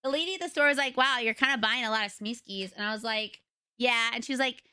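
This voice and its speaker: A young woman streamer with a raspy voice, chatting casually and rambling to her viewers from home. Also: on the high side, fast, bright, forceful tone, no echo, studio quality. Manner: chatty, rambling, retells conversations.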